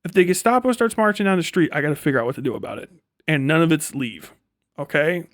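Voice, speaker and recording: High-pitched American man ranting casually. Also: animated, fast talker, fairly bright tone, no room sound, clean studio sound. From United States. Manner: profane, blunt, rambling. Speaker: livestream host. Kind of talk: casual ranting livestream commentary.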